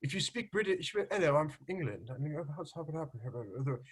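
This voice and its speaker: An American man hosting a casual group video call, talking in an animated, teasing way and often mimicking accents. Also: a little high, brisk, a crowd in the background. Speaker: host.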